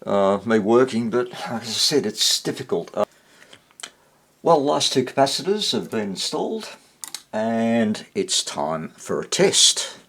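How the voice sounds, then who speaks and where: A man's voice: medium pitch, very animated, unhurried, fairly bright tone, breathy edge. An older man giving casual, unscripted commentary at his workbench, rambling and thinking aloud. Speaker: hobbyist radio repairer and presenter. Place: small indoor home workshop.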